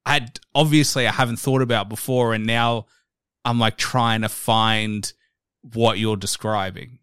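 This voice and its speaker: A man hosting a podcast interview, musing and thinking aloud in a relaxed, rambling way. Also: fairly low pitch, a little slow, clear, resonant tone, dry recording, studio quality. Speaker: podcast host. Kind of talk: casual interview conversation.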